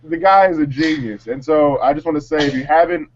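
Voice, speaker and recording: Male co-host, fairly high register, informal slangy chat, slightly muffled recording. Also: fairly quick. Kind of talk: casual chat.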